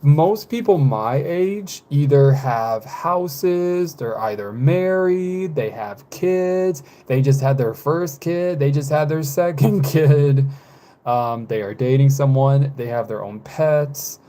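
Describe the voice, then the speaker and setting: A man in his twenties chatting casually with live viewers, rambling and hesitant, with many fillers, self-corrections and soft laughs. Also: fairly high pitch, slow pace. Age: 20s. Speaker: live streamer.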